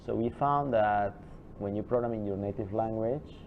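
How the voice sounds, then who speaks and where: A low-voiced man lectures a class in a loose, rambling way, stopping to restart his sentences and wander off into side stories. The recording sounds dull and lo-fi, with a faint hiss under it. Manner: chatty, digressive, with halting restarts.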